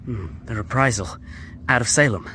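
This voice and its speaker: A man's voice: mid-range voice, normal speed, bright, forceful tone, slight background noise, lightly acted. An actor playing an earnest, anxious young male American prisoner, a devoted son, in a radio drama. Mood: anxious, curious. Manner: hesitant, earnest.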